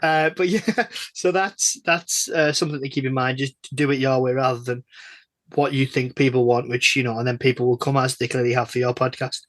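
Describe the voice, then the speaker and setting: A man's voice: mid-range voice, steady tone, brisk, fairly bright tone, no room sound. A man hosting a casual interview, chatty and emphatic, often stammering over and repeating his phrases. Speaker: podcast interviewer.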